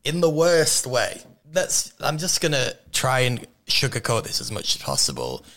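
A young man hosting a casual radio chat show from a studio, his voice hoarse and worn, teasing and laughing often. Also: mid-range voice, huge pitch swings, moderate pace, a bit bright. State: hoarse, lost voice. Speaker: radio host. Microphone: studio microphone.

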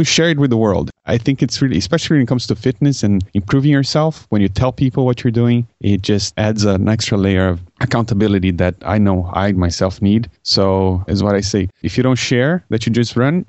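Man from Brazil, low voice, upbeat and self-deprecating, dry dull sound. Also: fairly quick, few pauses, a little mellow, no room sound. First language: Portuguese. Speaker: podcast guest. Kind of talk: casual interview talk.

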